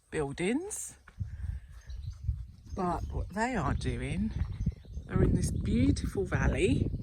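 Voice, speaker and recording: A gushing woman in her fifties, speaking at an unhurried pace. She hosts a travel vlog from a rural valley and talks into the camera she holds. A faint hiss sits under her voice. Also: fairly low pitch, darkish tone. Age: 50s. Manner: enthusiastic, gushing. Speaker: travel vlogger host. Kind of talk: casual chat. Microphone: handheld camera held by the speaker.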